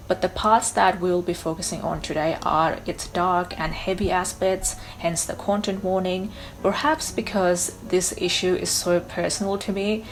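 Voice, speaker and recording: A woman's voice: on the low side, poor audio. A young woman from Bangladesh narrating a scripted history explainer video in an earnest, personal and emphatic way. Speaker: YouTuber.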